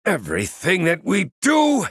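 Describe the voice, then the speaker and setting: A man's voice: sits high, huge pitch swings, no echo, studio quality, heavily acted. A man playing an earnest exercise team leader in a video game, calling out drills. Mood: rallying. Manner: drawn-out rallying counts.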